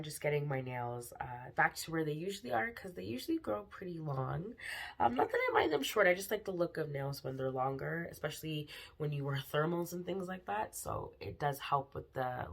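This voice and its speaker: Low-voiced woman with a raspy cold. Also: lively intonation, a little fast. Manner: chatty, frequent ums, bubbly about products. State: sick with a cold, slightly raspy. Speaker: nail polish vlogger. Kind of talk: casual haul vlog.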